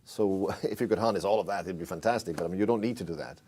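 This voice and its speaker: Deep mellow-voiced Welsh man in his 60s. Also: fast talker. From Wales. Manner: relaxed, repeats words when starting a sentence. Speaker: ocean engineer interviewee. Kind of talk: conversational interview answers. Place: small indoor interview room.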